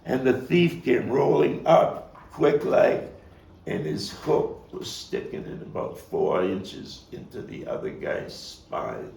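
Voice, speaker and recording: Echoey distant recording, male actor as a vengeful street gang youth, low creaky voice, profane, intense, halting stage monologue. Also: lively intonation, a little mellow, exaggerated voice. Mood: urgent, agitated. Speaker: actor and playwright performing his own monologue. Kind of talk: dramatic stage monologue at a table read. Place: echoey room, table read. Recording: echoey, noisy room, distant mic.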